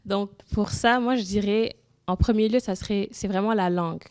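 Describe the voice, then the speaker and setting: A young woman from Senegal, a Wolof speaker, tells her own story as an interview guest. Her voice sits at an ordinary mid pitch in a dry, close sound. She is earnest and keeps restarting, leaning on "comme" as she goes. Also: normal speed, a little mellow, smooth, dry recording. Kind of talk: personal testimony in an interview.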